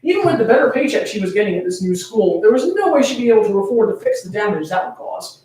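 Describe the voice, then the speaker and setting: Very echoey, expressive woman reading stories. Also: mid-range voice, fast talker. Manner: playful, easily amused. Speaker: co-host reading fan fiction aloud. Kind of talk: dramatic reading with casual banter. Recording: very echoey room, distant from mic.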